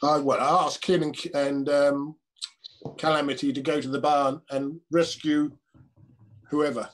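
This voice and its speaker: Male tabletop player, unhurried and halting, light higher-pitched voice. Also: flat delivery, poor audio. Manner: hesitant, thinking aloud.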